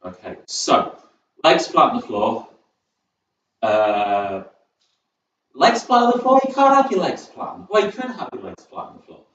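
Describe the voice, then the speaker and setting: A man with a northern English accent leads a seated workout, cheerful and rambling, his pitch bouncing up and down as he counts down the reps. He is heard from across a very echoey room, and the sound is muffled. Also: expressive, normal speed, pauses often, fairly bright tone, slight background noise. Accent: northern English, Southport. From England, born in Birmingham, raised in Southport. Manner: chatty, upbeat, rambles between cues, counts down reps. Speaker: fitness instructor. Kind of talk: chair-based exercise class, livestream.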